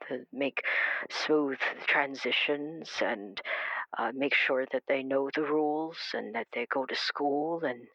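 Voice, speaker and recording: A woman performs an ancient, wistful woman in an audio drama. She speaks very slowly in a creaky, breathy voice that often falls to a whisper, halting and gentle, with long pauses. The sound is dull and close, with no room to it. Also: rumbling low, steady tone, no echo, exaggerated voice. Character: very old, weary, haunted woman, children's librarian. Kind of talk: improvised audio drama, caller to a radio show.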